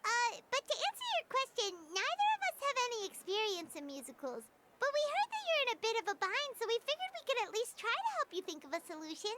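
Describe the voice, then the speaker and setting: A woman voicing a tiny, excitable, childlike female fairy companion in a video game, with a squeaky, perky delivery. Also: fairly quick, very noisy, full character voice. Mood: chirpy. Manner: perky, speaks of self in third person. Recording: game music behind the voice.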